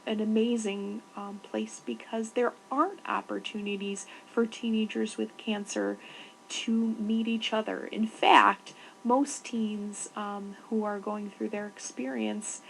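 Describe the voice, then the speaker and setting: Female coordinator, interview answers: slow, explanatory, fry in the voice, faint hiss. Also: mid-range voice, low-quality recording. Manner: explanatory, searching for words. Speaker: programs coordinator.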